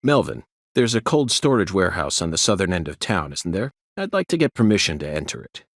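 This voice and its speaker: A man's voice: sits low, very animated, brisk, no echo, clean studio sound, exaggerated voice. A man with an American accent playing an eccentric, self-assured FBI special agent in a video game, speaking formally and theatrically. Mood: composed, self-assured. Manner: formal, theatrical, musing aloud to an unseen companion.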